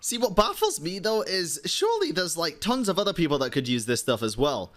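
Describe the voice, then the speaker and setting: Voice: medium pitch, sing-song, a little fast, a bit bright. A game streamer giving casual, slangy live commentary with wry asides.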